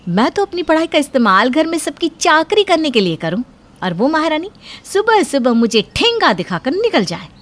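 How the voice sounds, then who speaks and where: A woman playing a jealous, spiteful housewife in a cartoon moral story, sarcastic, bossy and full of complaints. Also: sits high. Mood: sarcastic, bitter. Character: spiteful, jealous elder sister-in-law, grumbling housewife.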